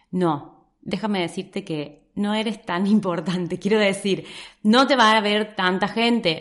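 A woman from Córdoba, Argentina, with a Córdoba Argentine accent mixed with some Spain Spanish words, giving direct, encouraging advice straight to camera in a vlog. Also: medium pitch. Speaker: social media coach.

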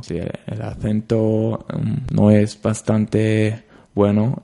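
A young man with a German accent, speaking Spanish hesitantly, pausing often to find his words. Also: fairly low pitch, creaky, warm tone. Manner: hesitant, searching for words with many ums. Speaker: interviewee, intern.

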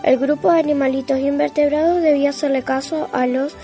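A woman's voice: high voice, steady tone, fairly quick, mellow, soft background music. A woman narrating a scripted children's story.

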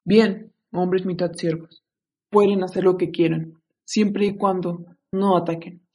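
Woman as a husky, commanding Aztec ruler. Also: on the low side, level tone, slow, mellow, exaggerated voice. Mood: suspicious, demanding. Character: proud, commanding male Aztec ruler and warrior. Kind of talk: radio drama acting.

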